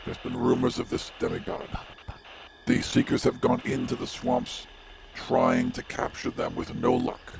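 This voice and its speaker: A man voicing a grave, formal dwarf warden in magical armor with a gravelly voice, for a tabletop fantasy game. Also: rumbling low, wildly swooping pitch, unhurried, heavy background noise, heavily acted. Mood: solemn, puzzled. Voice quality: gravelly, creaky.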